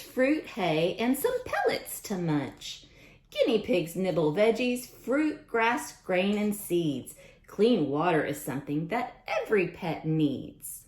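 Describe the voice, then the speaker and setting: A woman reading aloud at storytime for young children in a sing-song manner, often asking questions and repeating lines. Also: medium pitch. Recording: roomy, echoing sound.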